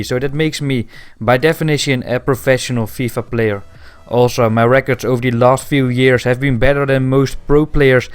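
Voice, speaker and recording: A man in his twenties from the Netherlands with a Dutch accent, answering viewer questions in a casual voice-over, matter-of-fact with frequent pauses. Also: medium pitch, smooth. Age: 20s. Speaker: gaming video creator.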